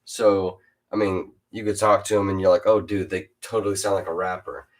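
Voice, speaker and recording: A teenage boy with a super deep, low-pitched voice hosts a laid-back chat. He hesitates a lot, fills gaps with "uh," and restarts his sentences as he goes. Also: steady tone, brisk. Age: teens. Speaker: host interviewing a fellow rapper. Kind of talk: casual chat.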